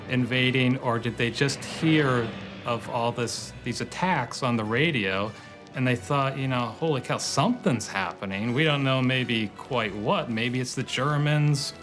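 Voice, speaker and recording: A man giving explanatory interview commentary, with halting, thoughtful phrasing. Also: mid-range voice. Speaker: documentary interviewee.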